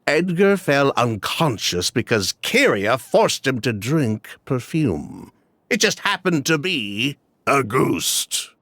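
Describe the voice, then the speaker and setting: An actor playing a smooth, evasive middle-aged former perfume swindler in a video game. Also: male voice, mid-range voice, very animated, slow pace, fairly bright tone, exaggerated voice. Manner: evasive, chatty, with dismissive laughs.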